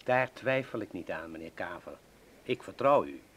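An actor playing a courteous, formal shady dealer in a radio drama. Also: male voice, low voice, lively intonation, normal speed, fairly bright tone, slightly rough, exaggerated voice. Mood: polite, formal. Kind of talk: Dutch radio drama acting.

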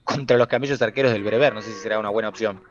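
A man with an Argentine accent co-casting a strategy game, heard over a voice chat link. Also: on the low side. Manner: fast, steady analytical reads. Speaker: co-caster. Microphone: voice chat headset. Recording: dull lo-fi voice chat audio.

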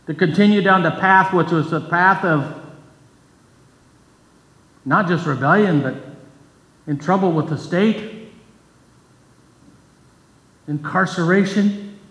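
An older man giving a sermon at a pulpit, earnest and measured, reading scripture between his points. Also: high-pitched, a bit bright. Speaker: pastor. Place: church sanctuary, at a pulpit. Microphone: pulpit microphone, far camera. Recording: echoey church hall from far off.

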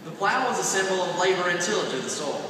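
A man's voice: sits high, animated, quick pace, background noise. Young man declaiming through arena PA. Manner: declaiming, formal. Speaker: vice president of a youth organization. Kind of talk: formal ceremonial recitation. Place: large arena. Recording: echoey arena PA from far off.

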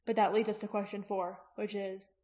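A woman voicing a calm, steady young male dragon trainer in an audiobook, talking evenly and soothingly. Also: medium pitch, close to an everyday voice. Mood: wry, thoughtful. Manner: even, crooning, measured.

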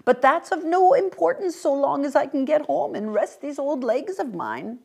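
Woman putting on a flustered, very old polite gentleman. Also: high-pitched, sing-song, normal speed, creaky, voice pushed up, full character voice. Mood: wistful, coaxing. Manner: hesitant, well-spoken, courteous stammering plea. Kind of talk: audiobook character voice.

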